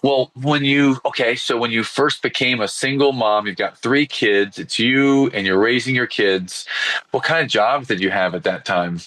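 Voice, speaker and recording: Bright-voiced male podcast host. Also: medium pitch, moderate pace, low-quality recording. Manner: encouraging, conversational questioning. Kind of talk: interview host.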